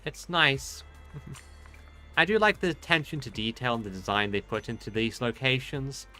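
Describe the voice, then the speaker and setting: Male let's-play host: forward, bright tone, rambling casual delivery, faint game music underneath. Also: mid-range voice, unhurried. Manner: rambling, hesitant thinking aloud. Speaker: game streamer. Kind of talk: casual let's play commentary, reading dialogue aloud.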